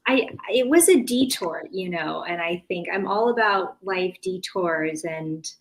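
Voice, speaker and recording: American female author as interview guest, lowish pitch, casual, hesitant, self-interrupting delivery. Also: expressive, a little slow, rough recording. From California, United States.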